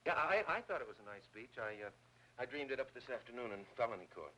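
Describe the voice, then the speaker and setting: An actor playing an earnest, bashfully romantic lawyer with a hesitant, stammering manner, in 1940s film noir style. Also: male voice, mid-range voice, lively intonation, fast talker, sharp tone. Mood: wry, gentle. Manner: hesitant, stammering, self-deprecating. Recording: noisy vintage film soundtrack.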